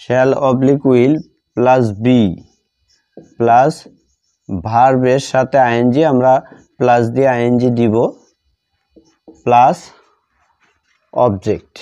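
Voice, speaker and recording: A man with a Bengali accent teaching a grammar lesson step by step, halting and repeating phrases in short fragments as he writes. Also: medium pitch, steady tone, dark tone. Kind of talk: step-by-step grammar lesson, spoken while writing. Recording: dull lo-fi sound.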